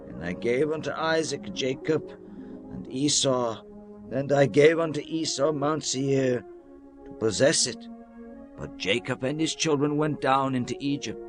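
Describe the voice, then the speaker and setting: Guy playing old bible patriarch, real slow grand solemn speechifying, music going loud behind him. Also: mid-range voice, natural voice. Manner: solemn, declamatory, emphatic swells and hushed drops. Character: old Hebrew leader Joshua, solemn, exhorting his people. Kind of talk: dramatized scripture reading, grand oratory. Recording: music under the voice throughout.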